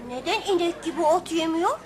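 A chattering young child in a radio drama. The voice is very high and bouncy in melody, with a curious, cheeky manner. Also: female voice, lively intonation, normal speed, over a noisy crowd, heavy background noise. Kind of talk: radio play acting.

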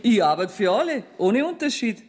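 A woman's voice: on the high side, big pitch swings, normal speed, a little mellow. An actress playing a shrewd, courteous Bavarian woman banker receiving clients in a radio drama. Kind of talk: German radio drama acting. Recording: 1970s radio production with clear noise.

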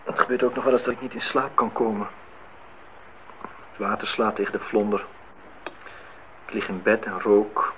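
An actor playing a brooding, insistent, melancholy engineer in a radio play. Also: male voice, fairly low pitch, fairly even intonation, normal speed, long pauses, lightly acted. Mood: brooding, wistful. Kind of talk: radio play dialogue and monologue. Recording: muffled, noisy old radio-play recording.

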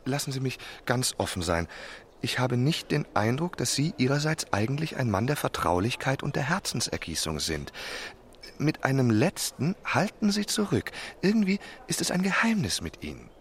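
A man's voice: medium pitch, very animated, normal speed, lightly acted. Man playing an effusive lovesick young marquis, noisy ambience. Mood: confiding, tipsy. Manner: effusive, stammering gentility. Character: lovesick young French marquis, chatty, effusive, self-pitying aristocrat. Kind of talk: German radio drama acting.

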